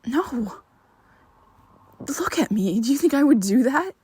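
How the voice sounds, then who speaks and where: A man voicing a young vampire who is his listener's best friend, speaking in a soft, breathy half-whisper with hesitant restarts, in an ASMR roleplay. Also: very high-pitched, animated, slow, heavily acted. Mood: pleading, defensive. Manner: hesitant, stumbling restarts, pleading.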